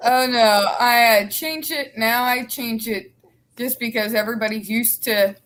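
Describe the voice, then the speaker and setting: Woman guest streamer, relaxed, explains at length, casual chat. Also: a little high, a little slow.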